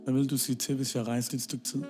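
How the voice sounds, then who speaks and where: A man playing a young man torn between two countries in an audio drama, quiet and defensive with his partner. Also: mid-range voice, little pitch movement, fast, close to an everyday voice. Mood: evasive. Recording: background guitar music.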